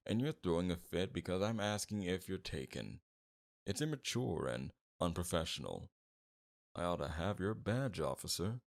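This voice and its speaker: Man acting as a deep, gravelly, flirtatious mob boss. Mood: probing, flirtatious. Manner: teasing, suave, drawn-out flirtation with veiled threats. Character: flirtatious, smug, menacing male mob boss. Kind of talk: ASMR roleplay, scripted character acting.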